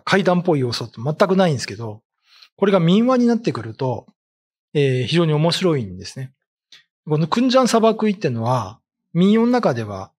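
A middle-aged man talks through old folklore in a casual, explanatory way. His voice sits a little high for a man and has a husky grain, with intonation that moves up and down freely. He sounds conversational, as if explaining to listeners across a desk. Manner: conversational, explaining with everyday examples. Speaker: ghost story writer and commentator. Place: indoors, seated at a desk. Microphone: desk microphone with pop filter.

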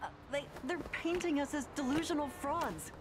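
A woman's voice: high-pitched, moderate pace, noisy background, lightly acted. A woman voicing an earnest, awkward female scientist ghost hunter in a video game cutscene. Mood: dejected.

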